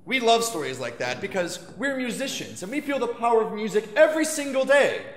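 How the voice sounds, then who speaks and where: A man who is an opera baritone narrates and explains a story on stage in a clear, scripted storyteller's manner. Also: big pitch swings, brisk, slight background noise. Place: opera house stage, live show. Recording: echoey hall with piano.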